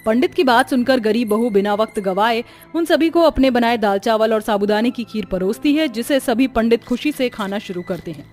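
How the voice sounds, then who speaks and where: A woman's voice: on the high side, a little mellow. A woman giving scripted narration for a cartoon story.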